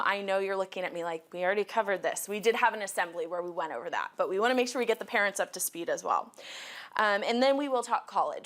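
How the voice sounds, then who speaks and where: A woman's voice: medium pitch, fairly bright tone. A young woman in her twenties, a college counselor, giving a friendly, organized school presentation to students and parents, wearing a mic, with frequent fillers. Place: school meeting room.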